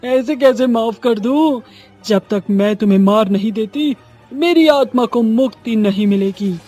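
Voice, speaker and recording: A woman's voice: on the high side, takes it slowly, a little mellow, faint music behind. A woman playing a vengeful ghost witch of a wronged bride, menacing and theatrical, in a horror cartoon. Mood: gloating, menacing.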